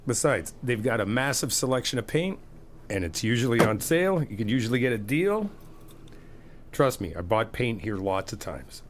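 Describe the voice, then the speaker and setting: A middle-aged man in an ASMR friend roleplay, talking in an easygoing, reassuring, chatty way with soft laughs. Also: mid-range voice, sing-song, moderate pace, a little hiss or hum. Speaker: ASMR roleplay creator playing a best friend.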